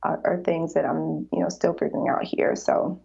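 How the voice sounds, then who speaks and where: A woman's voice: deep voice, level tone, moderate pace, creaky, mellow, slightly rough. A woman guest on a podcast, chatting in a reflective way with many "you know" fillers. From United States. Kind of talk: casual interview chat. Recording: dull lo-fi sound.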